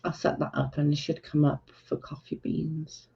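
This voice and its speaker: Welsh female streamer, fed-up and blunt, slightly low and raspy, muffled stream audio. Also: flat delivery, normal speed, background noise. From Wales. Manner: blunt and exasperated, talking to chat. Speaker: livestream host. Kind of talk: casual livestream chat.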